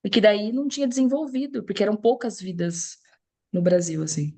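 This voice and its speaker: Fast-talking woman host. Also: medium pitch, warm tone. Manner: affirming, with short agreeing interjections. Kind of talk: casual conversation.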